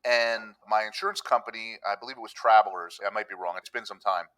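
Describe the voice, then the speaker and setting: A man's voice: on the low side, fast, pushed and bright, poor audio. A middle-aged man from Pennsylvania, a guest on a podcast, talking easily and telling stories of his work and past, slipping into other people's voices when he quotes them. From Pennsylvania, USA. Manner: easygoing storytelling, acts out quoted voices. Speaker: public adjuster, podcast guest. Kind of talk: conversational interview. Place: room with a countryside backdrop.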